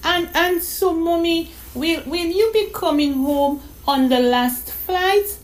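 A woman's voice: very high voice, takes it slowly, voice pushed up. A woman voicing a shy, sweet young girl who stammers and repeats her words. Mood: eager, hesitant.

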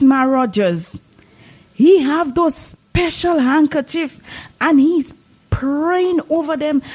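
A woman playing a devout, gullible, excitable older village woman with a Dominican Caribbean accent, gushing and exclamatory, in a drama. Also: high voice, exaggerated voice, rough recording. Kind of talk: dramatised dialogue in Caribbean creole English.

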